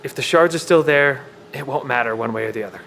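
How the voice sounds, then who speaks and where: Earnest survivor, mid-pitched. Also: male voice, fast, gravelly, fairly bright tone. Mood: determined. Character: earnest, protective, technically minded male survivor. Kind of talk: audio drama acting. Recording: faint background noise.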